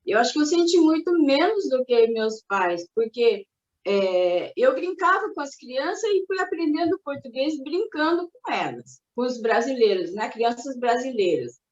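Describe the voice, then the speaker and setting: A woman's voice: high-pitched, big pitch swings, normal speed, a little mellow. An older woman born in Japan, speaking as an interviewee and recalling her family's past in a reflective, hesitant way with many restarts. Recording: roomy, dull recording.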